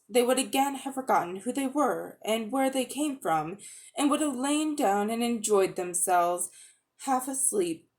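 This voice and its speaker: A woman's voice: mid-range voice, darkish tone. A woman reading a children's fantasy story aloud as a dramatic narration. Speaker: audiobook reader.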